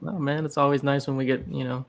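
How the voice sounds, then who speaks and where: Laid-back gravelly-voiced male host. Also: mid-range voice, level tone, fast talker. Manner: laid-back, says "man" a lot. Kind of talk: casual livestream chat.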